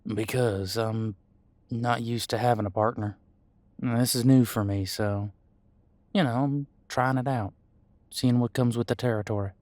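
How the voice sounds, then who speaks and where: A man playing an easygoing demon homicide detective in an audio roleplay, talking in a casual, folksy, reassuring way with hesitant pauses. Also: mid-range voice, steady tone, a little mellow, no room sound, lightly acted. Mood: friendly, reassuring. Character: easygoing male demon homicide detective mentoring new partner. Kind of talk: audio roleplay drama, conversational monologue.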